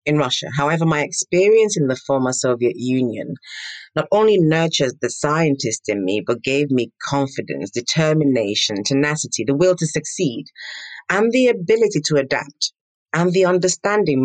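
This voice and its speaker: A middle-aged woman from Nigeria, telling her story as an interview guest in an animated, emphatic, self-assured way. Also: sits low, normal speed, no room sound. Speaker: guest, entrepreneur. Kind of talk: interview answers.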